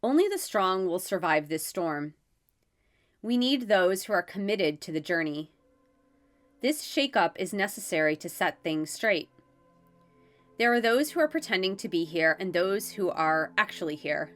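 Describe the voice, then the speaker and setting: A woman's voice: lowish voice, expressive, a bit bright, faint music behind. A congested woman reading solemn angelic guidance. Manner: measured, read aloud from a written letter. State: congested from a head cold.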